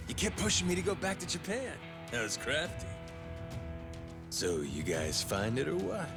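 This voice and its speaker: An actor playing a cocky, wild middle-aged pirate captain in a video game cutscene, slangy and taunting. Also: male voice, fairly high pitch, big pitch swings, moderate pace, music in the background. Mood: sly, probing.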